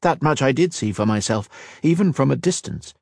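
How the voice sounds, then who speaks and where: Man voicing a gentle, high-pitched elf woman. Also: animated, creaky, no echo, clean studio sound. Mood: calm explaining. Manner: soft-spoken, deliberate, formal. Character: gentle elf woman, calm, measured, evasive.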